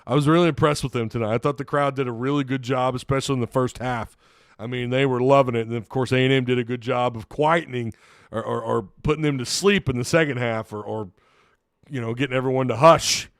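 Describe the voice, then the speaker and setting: A man's voice: medium pitch, steady tone, fast talker, smooth, no echo, studio quality. A man hosting a casual solo sports recap, tired and losing his voice, rambling with frequent restarts. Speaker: sports podcast host.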